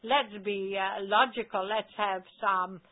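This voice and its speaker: A woman's voice: mid-range voice, slow. Dutch lady telling stories over a tinny phone line, gets worked up, trips over words. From Netherlands. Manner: animated storytelling, emphatic with stammered restarts. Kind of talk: casual talk on a radio call-in.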